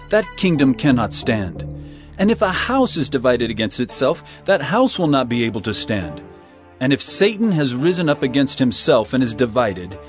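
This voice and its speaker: An actor playing a firm, authoritative male teacher, speaking solemn, measured lines in a dramatized scripture reading. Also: medium pitch, natural voice. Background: music.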